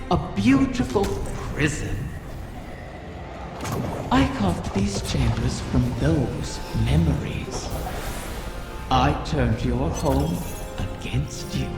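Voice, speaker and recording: An ancient dragon from a video game, bitter and bargaining. The delivery is slow, theatrical and menacing, with a gravelly creak. The voice rings out in a cavernous reverb over clear music. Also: male voice, mid-range voice, exaggerated voice. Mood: wistful, taunting. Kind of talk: video game character acting. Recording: cavernous echo with long reverb.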